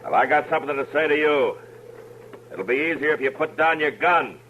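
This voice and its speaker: Crisp, forceful western marshal. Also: male voice, on the high side, expressive, a little fast, highs cut off. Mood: commanding. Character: dry, firm U.S. Marshal in the Old West. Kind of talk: 1950s western radio acting. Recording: faintly noisy old radio recording.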